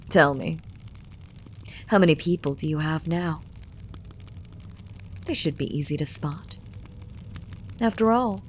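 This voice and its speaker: A woman playing an elegant, calm and menacing vampire noblewoman in a scripted roleplay audio drama, teasing and condescending in genteel, formal phrases. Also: low voice, a little hiss or hum. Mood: amused, menacing.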